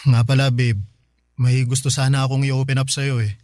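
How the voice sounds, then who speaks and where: An actor playing an apologetic, questioning young boyfriend in a radio drama, with a Filipino accent. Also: male voice, medium pitch, little pitch movement, normal speed, a little mellow, no echo, clean studio sound, lightly acted. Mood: hesitant. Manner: questioning, placating. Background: faint music.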